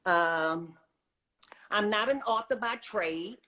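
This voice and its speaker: Woman in her sixties, mid-pitched, conversational. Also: slow, bright, forceful tone. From Texas, United States. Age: 60s. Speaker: guest author, retired science teacher. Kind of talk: conversational interview, telling own story.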